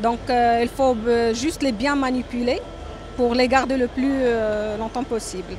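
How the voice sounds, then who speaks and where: A woman's voice: a little high, fairly even intonation, normal speed. A woman in her twenties presenting products in an interview, speaking in short, hesitant phrases. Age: 20s. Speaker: company representative. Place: exhibition booth in a trade fair hall. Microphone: handheld interview microphone. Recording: clear trade fair background noise.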